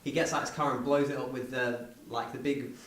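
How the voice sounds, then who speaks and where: A man's voice: mid-range voice, little pitch movement, fairly quick, fairly bright tone. Distant man in a very echoey room. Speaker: podcast co-host. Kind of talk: casual chat. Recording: very echoey, distant mic, faint noise.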